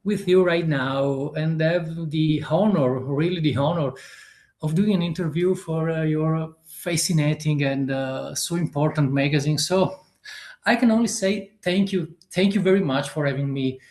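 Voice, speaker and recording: A man in his fifties with an Italian accent, an author answering interview questions haltingly and searching for words in a non-native language. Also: fairly high pitch, darkish tone, reverberant. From Italy. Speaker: author guest.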